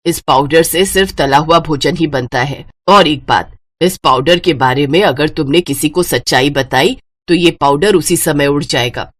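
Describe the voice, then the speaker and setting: A woman's voice: sits low, moderate pace, hardly any pauses, pitched below the performer's own voice. A woman voicing a kindly middle-aged female magician in a story, instructing in a motherly way. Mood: earnest, instructive.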